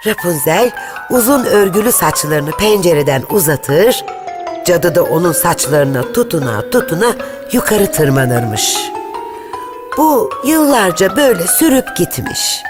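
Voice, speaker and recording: Brief: woman narrator for a cartoon fairy tale, lowish voice, very lively lilt, music clearly behind. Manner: lilting storytelling, lively intonation.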